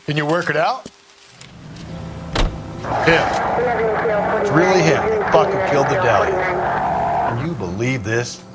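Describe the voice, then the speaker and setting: An actor playing a cynical, brash American police detective in a game cutscene, talking bluntly and colloquially. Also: male voice, medium pitch, animated, takes it slowly, gravelly, long pauses, very noisy. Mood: excited, brash. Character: gruff, cynical, foul-mouthed male veteran police detective partner. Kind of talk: naturalistic game cutscene acting.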